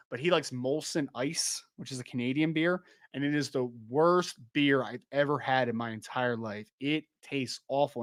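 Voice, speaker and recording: A man's voice: mid-range voice, a bit bright, dry recording. Chatty young man with a dry, close sound. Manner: chatty, rambling, laughs easily, many restarts and "like". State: losing his voice a little. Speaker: podcast host. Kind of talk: casual live-stream podcast chat with chat readers.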